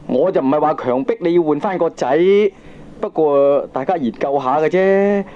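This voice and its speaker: A doubting, aggrieved father played by a man speaks measured, questioning Hong Kong Cantonese. His voice sits fairly high. It is comic radio acting with a dull cassette sound. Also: mellow, lightly acted. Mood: polite, doubting. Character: doubting, polite but persistent aggrieved father. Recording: dull 1980s audio cassette recording.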